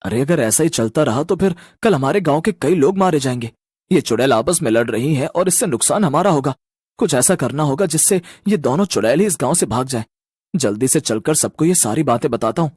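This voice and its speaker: An anxious, earnest village boy from a horror cartoon, voiced by a man. His rather high voice jumps around with urgency. He exclaims and explains in a close, dry recording. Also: animated, brisk, darkish tone, slightly rough, no room sound. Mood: worried. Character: anxious, earnest village boys plotting against witches.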